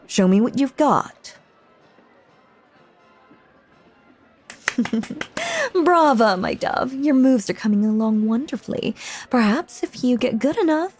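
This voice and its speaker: A woman playing a possessive, lovestruck stage magician in a roleplay audio drama, theatrical and doting, often breathy or whispering. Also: mid-range voice, darkish tone. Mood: pleased, coaxing. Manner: theatrical, doting, drawn-out hypnotic cooing. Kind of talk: roleplay audio drama, intimate second-person monologue.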